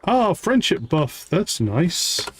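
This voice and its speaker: A man's voice: a little high, sing-song, takes it slowly, soft background music. A man giving casual live gameplay commentary as a streamer, thinking aloud to himself in short fragments. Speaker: game streamer.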